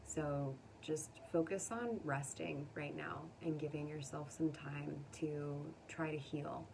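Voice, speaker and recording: A woman giving a casual tarot reading to viewers, speaking gently and consolingly in an informal way. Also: low-pitched, fairly even intonation, slow, creaky. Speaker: tarot reader. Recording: distant mic, faint background noise.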